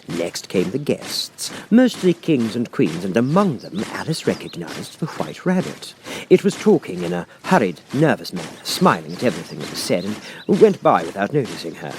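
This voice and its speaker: Very animated male storybook narrator. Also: on the low side, noisy background. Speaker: audiobook reader.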